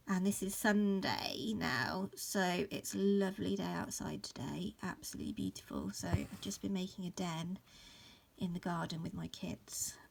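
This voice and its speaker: A woman in her thirties with a croaky voice from hay fever, casually talking through an embroidery tutorial at home, full of ums and restarts. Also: fairly low pitch, a little slow. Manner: relaxed, many fillers and restarts. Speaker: embroidery teacher. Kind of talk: casual stitch-along tutorial. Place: upstairs room at home.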